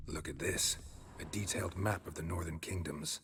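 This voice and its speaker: An actor playing a stoic middle-aged monster hunter in a video game, with an American accent, a gravelly voice and a terse, deadpan delivery. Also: male voice, normal speed, a little hiss or hum. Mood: wry, curious. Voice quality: low gravelly. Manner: terse, deadpan, clipped phrasing.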